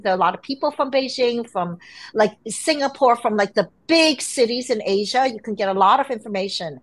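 A woman's voice: medium pitch, low-quality recording. A middle-aged woman from Hong Kong and New York, an author telling stories with animation as a guest on a video call from home. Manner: animated storytelling. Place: home room.

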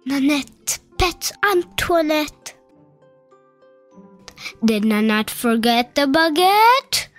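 Slow, high-voiced woman reading a storybook. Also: big pitch swings, fairly bright tone. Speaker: narrator reading a picture book aloud. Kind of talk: children's read-aloud narration. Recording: faint music behind the voice.

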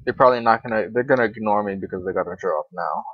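A man's voice: on the low side, fast talker, no room sound. Young man, dull lo-fi gaming commentary. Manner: slangy, swearing, rambling to himself. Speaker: gaming video creator. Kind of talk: casual unscripted game commentary. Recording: dull, lo-fi microphone.

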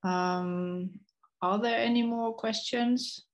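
A woman's voice: on the high side, steady tone, slow pace. A woman moderating an online academic lecture, reading out questions and inviting participants to speak. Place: indoor room on video call. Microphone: video call.

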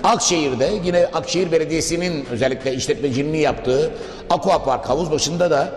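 A man's voice: a little high, fairly quick. A man hosting a formal promotional TV interview, speaking in long, polite, wordy sentences. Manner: wordy, formal, addresses viewers and guest politely. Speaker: interviewer, TV host. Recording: echoey reverberant room, music behind. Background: background music.

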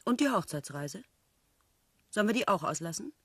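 An actress playing an ironic, poised newlywed wife who needles and probes in a radio play. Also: female voice, medium pitch, animated, normal speed, breathy edge. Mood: teasing. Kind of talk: German radio play acting.

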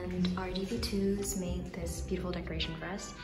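A woman's voice: fairly low pitch, level tone, a little fast, music in the background. Bubbly young woman vlogger, casual and chatty. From Indonesia. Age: young adult. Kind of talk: casual room-tour vlog chat. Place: small furnished dorm rooms and bathroom.

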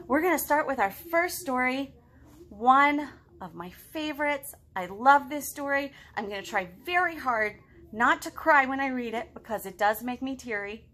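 A woman in her thirties reads aloud for children with lively, swooping intonation and a bright, slightly high voice. She breaks off to put questions to the young listeners, and there is faint room noise behind her. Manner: expressive, addressing young children directly. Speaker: children's librarian, storytime host. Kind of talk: read-aloud storytime with chatty questions to children. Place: living room at home.

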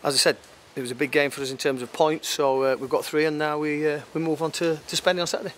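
A man in his fifties giving an unscripted post-match football interview, matter-of-fact and halting, repeating phrases as he goes. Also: fairly high pitch, level tone. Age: 50s. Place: outdoors at a football ground at night. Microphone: handheld interview microphone. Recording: clear outdoor background noise.

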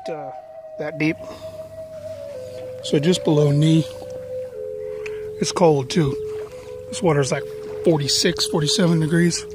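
A middle-aged American man talks casually to his phone camera out in the open. His voice sits a little higher than most men's. He is folksy and unhurried in attitude, full of slang, and music plays clearly behind him. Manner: folksy, laid-back, slangy. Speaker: outdoor adventure vlogger. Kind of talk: casual vlog chat. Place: outdoors on a riverbank in a rocky canyon. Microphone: handheld phone camera, sometimes set down a few feet away.

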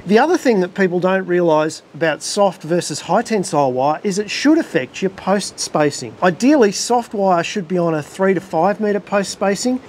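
A middle-aged Australian man with a fairly high voice walks through practical farm advice in a plain, friendly way. He is recorded outdoors beside a shed on a lapel mic. A faint rumble sits underneath. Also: a little mellow. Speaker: farm educator host. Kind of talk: instructional farm video talk.

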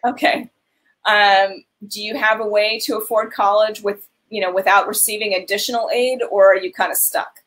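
A woman's voice: mid-range voice, steady tone, normal speed, a bit bright, low-quality recording. A woman in her thirties on a video call, giving earnest, direct advice as a financial aid advisor in a webinar.

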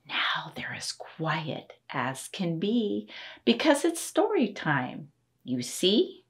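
A woman's voice: lowish voice, huge pitch swings, takes it slowly. A middle-aged woman reciting a children's rhyme in a sing-song way, as a story time host. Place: indoor room with books and posters.

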